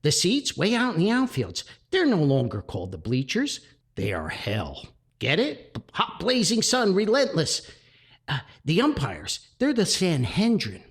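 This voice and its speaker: A man voicing an excitable, long-winded forty-year-old church committee member in a comic story. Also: high-pitched, unhurried, husky, pitched above the performer's own voice, exaggerated voice. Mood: zealous, eager. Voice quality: whistling speech through gapped teeth.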